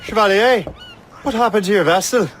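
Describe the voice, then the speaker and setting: An actor playing a cocky young Irish ship captain and assassin in a video game cutscene. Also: male voice, high-pitched, a little fast, a bit bright, soft background music. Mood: polite, dry. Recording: clear sea wind and wave noise.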